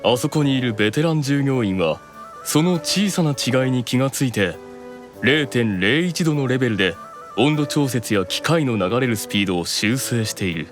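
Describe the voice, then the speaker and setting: An actor playing a proud, keenly observant demon eldest brother in an anime audio drama, explaining things with deliberate authority. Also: male voice, mid-range voice, expressive, faint music behind, close to an everyday voice. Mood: quietly absorbed.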